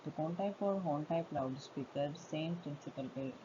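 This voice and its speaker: A woman with an Indian accent giving an explanatory technical lecture as a college teacher, in a methodical, halting way. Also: low-pitched. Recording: muffled lo-fi audio with loud background noise.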